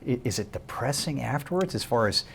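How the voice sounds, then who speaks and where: A man's voice: mid-range voice, animated, a little fast, creaky, husky. A middle-aged man hosting a local talk show, asking friendly questions with many stammered restarts. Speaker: host. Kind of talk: casual interview chat.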